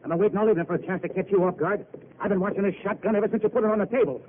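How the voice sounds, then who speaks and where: An actor playing a brash, jealous young suitor, blustering and impulsive, in an old radio drama. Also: male voice, high-pitched, steady tone, very fast, close to an everyday voice. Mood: tense. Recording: tinny old radio broadcast with faint noise.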